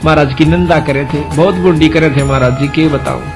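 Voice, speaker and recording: A man's voice: on the high side, fairly quick, over music. A man with a Haryanvi accent preaching through a folk story, telling it colloquially and voicing the dialogue as reported speech. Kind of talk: religious discourse told as a folk story.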